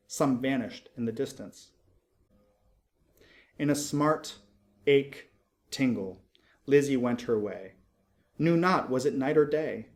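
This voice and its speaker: Higher-voiced man reading narrative verse. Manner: expressive, measured phrases with deliberate pauses. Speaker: poetry reader, narrator. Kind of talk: dramatic narrative poetry reading.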